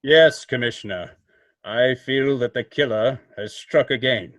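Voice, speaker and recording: An actor playing a mysterious, self-assured vigilante in an old-time radio mystery, speaking in a formal, portentous, deliberate way. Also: male voice, mid-range voice, fairly bright tone, no echo, close to an everyday voice. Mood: ominous.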